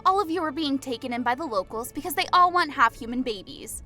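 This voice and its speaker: A woman's voice: high voice, animated, pushed and bright, soft background music. A woman voicing an impatient, snappish female officer giving reports in an audiobook. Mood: brisk. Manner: brisk, snappish. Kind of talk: audiobook dialogue reading.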